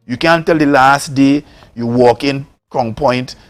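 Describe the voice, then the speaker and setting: A man from Tobago with a Trinidad and Tobago accent, making a forceful political case on a morning talk show and repeating words for emphasis. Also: medium pitch, a little slow, soft background music. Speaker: politician, guest.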